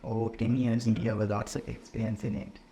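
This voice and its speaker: A man's voice: on the low side, level tone, a little fast, warm tone, a little hiss or hum. A man interviewing his professor, mostly answering with short "okay" and "yeah" and asking brief questions. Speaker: student interviewer. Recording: echoey room, off-mic.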